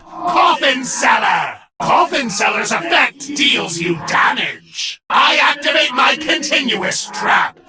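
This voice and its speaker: A man's voice: very high voice, distant mic, full character voice. A man voicing an arrogant, menacing villain card duelist in an anime-style video game, making theatrical, commanding declarations. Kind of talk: dramatic anime dub acting.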